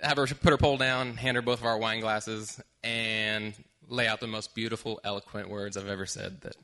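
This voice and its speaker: Bright-voiced man telling a story. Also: on the low side, normal speed. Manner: lively, self-amused storytelling. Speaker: storyteller on stage. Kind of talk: live personal storytelling.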